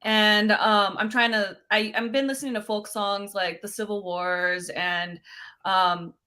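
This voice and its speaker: A young woman, a singer-songwriter guest, chatting casually in an interview, bubbly and quick to laugh. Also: medium pitch, steady tone, sharp tone.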